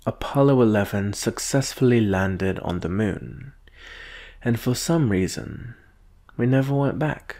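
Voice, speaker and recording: A man performs a male alien specialist for a shadowy agency in an ASMR roleplay. His voice sits fairly low and moves very slowly. He is composed and measured, with a knowing, condescending manner toward the captive he addresses. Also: vocal fry, darkish tone, heavily acted.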